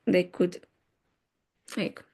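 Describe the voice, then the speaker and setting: A woman's voice: drawn-out words, warm tone. A woman from Ukraine, a native Ukrainian speaker and tutor, chatting hesitantly in simple phrases as a podcast guest. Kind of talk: casual chat.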